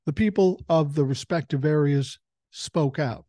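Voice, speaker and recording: Sixties male podcast host with a cold, blunt and profane. Mellow voice, dry close-mic sound. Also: a little high, unhurried, dry recording. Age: 60s. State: has a common cold. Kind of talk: casual political commentary monologue. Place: home room with a couch behind him. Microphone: large foam-covered dynamic mic close to the mouth.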